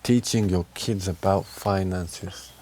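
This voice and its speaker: A man with a fairly low voice talks candidly in a casual outdoor conversation. He is emphatic, often repeating a phrase and adding little check-in tags. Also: steady tone, slow pace, a little mellow, a little hiss or hum. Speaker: interviewee. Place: outdoors on a park bench.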